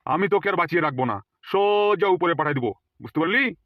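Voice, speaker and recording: A man's voice: fairly high pitch, animated, quick pace, no echo, narrow, tinny audio, natural voice. A man voicing a boastful, threatening village gang leader in a comic cartoon, taunting with rhetorical questions. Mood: accusing, menacing.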